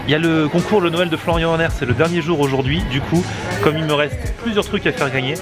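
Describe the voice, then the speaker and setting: Excited young man vlogging outdoors. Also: fairly high pitch, fast talker, over music. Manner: excited, chatty, laughs often. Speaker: food vlogger. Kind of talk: casual vlog chat with fans on the street. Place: busy city street outdoors.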